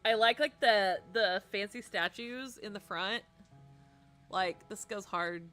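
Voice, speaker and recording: A young woman streamer reading a visual novel aloud expressively, with dramatic, casual, animated asides. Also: medium pitch, takes it slowly, soft background music.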